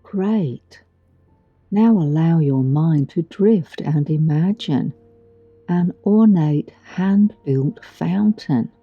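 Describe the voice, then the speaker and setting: Female meditation guide, lowish pitch, soothing and unhurried, gentle pauses, dull dry recording over music. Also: expressive, slightly rough, dry recording. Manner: soothing, gentle, deliberate delivery with long pauses. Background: background music.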